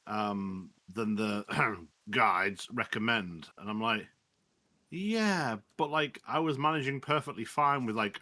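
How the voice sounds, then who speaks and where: A man casually chatting with friends during a game, relaxed and laughing, using lots of "like". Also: mid-range voice, no echo. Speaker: gamer in voice chat. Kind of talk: casual gaming chat.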